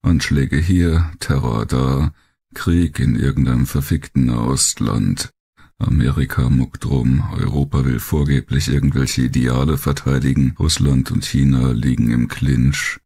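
A man voicing a blunt, cynical, world-weary male survivor of war in an audiobook, gravelly and coarse in his talk. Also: rumbling low, steady tone, a little slow, warm tone, exaggerated voice. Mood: bitter, wry. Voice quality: gravelly, husky. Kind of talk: audiobook character monologue.

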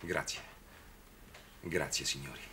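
Deep-voiced, earnest young priest. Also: male voice, steady tone, bright, forceful tone, full character voice. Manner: measured, reassuring. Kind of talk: 1960s Italian television drama acting. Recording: noisy old television soundtrack.

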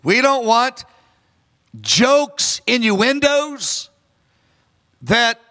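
A man's voice: very high voice, expressive, extremely slow, pushed and bright. A man in his fifties preaching a sermon on a large church stage into a handheld microphone, earnest and emphatic. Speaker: pastor. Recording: long reverb of a large hall.

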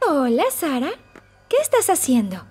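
A kindly cartoon mother with a Latin American accent. Her high voice moves in lively, affectionate swoops, with music behind it. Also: measured pace. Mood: curious, amused. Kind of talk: animated children's cartoon dub.

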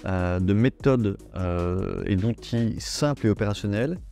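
A middle-aged man, a company president, speaking in a measured way with frequent hesitations in an interview. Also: fairly low pitch, vocal fry, warm tone. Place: indoors with plants. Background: background music.

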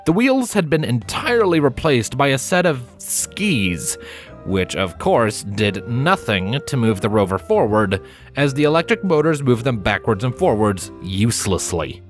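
Animated male story narrator. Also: medium pitch, measured pace, faint music behind.

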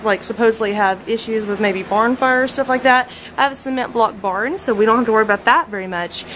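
A woman's voice: fairly high pitch, a bit bright. A woman in her thirties giving a casual, chatty walkthrough of her barn's horse stalls, full of ums, recorded on the handheld camera she is carrying. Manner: chatty, frequent ums, filming as she walks. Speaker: barn owner reviewing horse stalls. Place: indoor horse barn aisle. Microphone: handheld camera mic. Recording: noisy handheld camera audio.

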